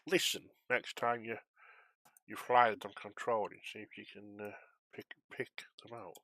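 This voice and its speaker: Male streamer, low but bright, forceful voice, chatty and self-deprecating, recorded close and dry. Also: little pitch movement, moderate pace, no room sound. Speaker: streamer, volunteer air traffic controller on a flight simulation network. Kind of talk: casual chat with viewers while controlling.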